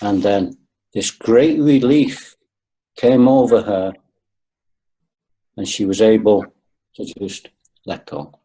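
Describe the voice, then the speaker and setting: A man's voice: on the low side, takes it slowly, pauses often, warm tone, husky, low-quality recording. A man in his sixties, a guest on a webinar video call, talking earnestly and repeating points for emphasis. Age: 60s. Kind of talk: webinar conversation.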